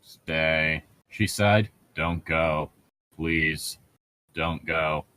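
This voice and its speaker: A man's voice: a bass voice, fairly even intonation, drawn-out words, bright, forceful tone, dry recording. A man doing casual, mocking read-along commentary as a show host, jokey and profane as he rambles. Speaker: host of a comedic book-reading show.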